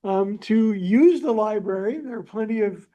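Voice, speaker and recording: A man moderating a library panel, speaking off the cuff in a halting way. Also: high voice, animated, warm tone. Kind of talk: unscripted remarks at a library panel. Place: echoing library event room. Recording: roomy, muffled, distant microphone.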